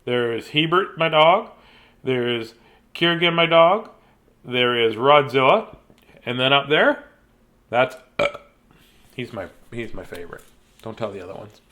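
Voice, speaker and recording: A man in his fifties reads children's bedtime picture books in an animated, playful, childlike way, with chatty asides. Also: medium pitch, measured pace, pauses often. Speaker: children's storyteller. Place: small decorated room indoors.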